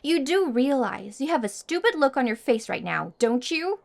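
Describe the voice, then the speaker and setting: Woman acting a haughty, high-voiced popular girl. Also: very animated, normal speed, gravelly, heavily acted. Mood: smug, teasing.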